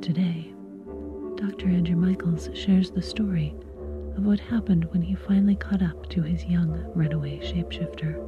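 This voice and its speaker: A woman's voice: fairly low pitch, fairly even intonation, normal speed. A woman with a whispery voice reading a scripted introduction as the announcer of an audio episode. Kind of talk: scripted narration. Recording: dull lo-fi sound under ambient music. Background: ambient music.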